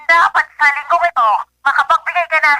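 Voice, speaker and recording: A woman's voice: high-pitched, fairly even intonation, fairly quick. An actress with a Filipino accent playing a nagging, scornful mother in a radio drama, scolding and belittling over the telephone. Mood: scornful, demanding. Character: nagging, scornful mother demanding money from her partner.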